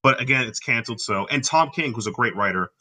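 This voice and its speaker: Bright-voiced Haitian-accented man. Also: medium pitch, expressive, quick pace. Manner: enthusiastic, explains at length. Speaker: podcast co-host. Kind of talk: casual chat.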